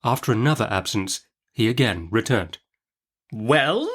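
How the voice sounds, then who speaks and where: Man narrating a classic novel, mid-pitched. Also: normal speed, a bit bright. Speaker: audiobook narrator. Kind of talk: expressive literary storytelling of a classic novel.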